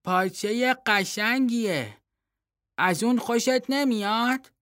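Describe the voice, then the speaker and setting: A man voicing a naive, simple-minded young fool in a read-aloud folktale, talking in a childlike, pleading, excitable way. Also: very high voice, no echo, studio quality. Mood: coaxing. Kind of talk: storybook reading.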